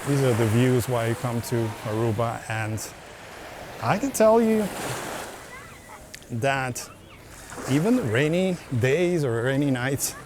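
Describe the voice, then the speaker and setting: A man's voice: medium pitch, sing-song, slow pace, pauses often. A man narrating a casual travel vlog as he strolls along a beach at sunset, talking in an easygoing way and repeating words as he goes. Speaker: travel vlogger. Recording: outdoor beach recording with surf noise. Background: waves and surf.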